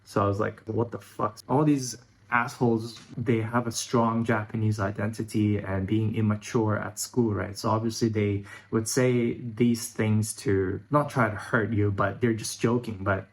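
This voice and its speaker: Guy in his 30s from hong kong and singapore, lowish voice, pretty monotone, talking candidly about himself on a vlog. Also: a little slow. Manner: informal, candid, occasional swearing. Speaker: YouTube vlogger. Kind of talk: personal reflective monologue to camera.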